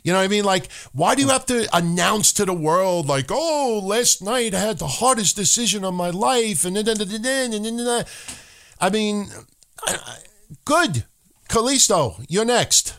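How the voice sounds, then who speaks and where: A man co-hosting a wrestling podcast in casual, profane chat, animated and swearing freely, sometimes slipping into joking broken-English voices. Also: sits high, moderate pace.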